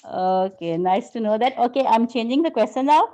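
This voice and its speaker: Woman English teacher, slightly high voice, patient and corrective, online lesson. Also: expressive, moderate pace, mellow, highs cut off. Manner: patient, corrects grammar, calls students "beta". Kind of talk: online class. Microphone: video call.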